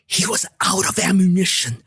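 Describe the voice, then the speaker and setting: A man's voice: high voice, normal speed, a bit bright. A man narrating a scripted, dramatic story as a podcast storyteller. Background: music under the narration.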